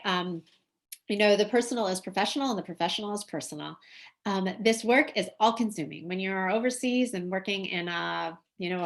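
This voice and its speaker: A woman's voice: mid-range voice, creaky. A middle-aged woman giving an informal online presentation as a guest lecturer, earnest and conversational, stressing key words. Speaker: guest lecturer, humanitarian nurse. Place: small indoor room at home or office. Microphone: computer mic on video call.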